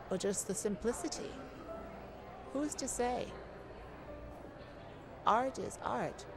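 A flirtatious, glamorous lady thief, played by a woman, talks at a slow, lingering pace over clearly audible music. She is coy, sweet and teasing, addressing her listener as a romantic partner in an ASMR roleplay. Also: mid-range voice. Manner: coy, teasing, leisurely with breathy chuckles. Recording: music behind the voice.

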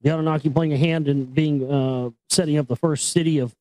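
A man reads and comments in a high-pitched voice. He is blunt and emphatic, hammering points through repetition and swearing freely. The sound is dry, with no room around it. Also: fairly even intonation, a little fast, mellow, no room sound, low-quality recording. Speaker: host reading a book with commentary. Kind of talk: impassioned commentary and book reading.

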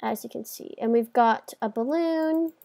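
A woman's voice: a little high, unhurried, creaky, warm tone. A woman hosting a video game tour, chatting informally as she gives casual commentary on what she is showing.

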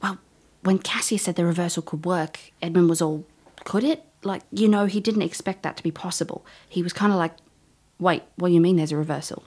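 A sharp fantasy heroine played by a woman with a lowish voice. She is wry and plain-spoken, an inquisitive investigator in an audio drama. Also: brisk, breathy edge. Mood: musing.

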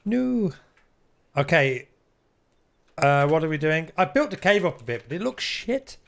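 A man's voice: fairly high pitch, big pitch swings, unhurried, creaky, fairly bright tone. A man chatting casually while live-streaming a game, reading out viewer comments and swearing now and then. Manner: chatty, swears casually, reads chat aloud.

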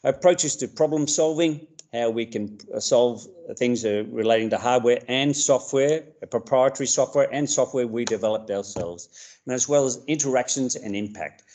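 An Australian man teacher talks students through a course. His voice sits at an ordinary middle pitch, and he pauses often with ums and uhs. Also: darkish tone. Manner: halting, many ums and uhs. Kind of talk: recorded subject talk to students.

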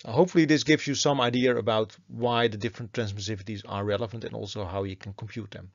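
A man's voice: on the low side, steady tone, fast talker, no echo, highs cut off. A man giving a methodical, step-by-step explanatory lecture as a university teacher over slides.

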